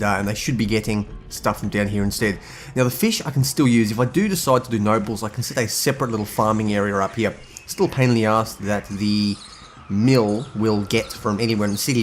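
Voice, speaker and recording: A hungover, husky-voiced man, rambling casually as he comments on his own gameplay. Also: on the low side, a little hiss or hum. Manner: rambling, thinks aloud. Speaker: gaming video host.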